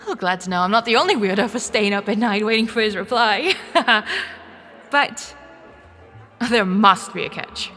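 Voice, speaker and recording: A woman's voice: mid-range voice, moderate pace. Sardonic, flustered young woman an actress plays in reverb. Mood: flustered, doubtful. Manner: wry, expressive, with sighing interjections. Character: young cash-strapped woman, sardonic, wary, inwardly flustered. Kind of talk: audio drama dialogue with inner monologue. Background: music.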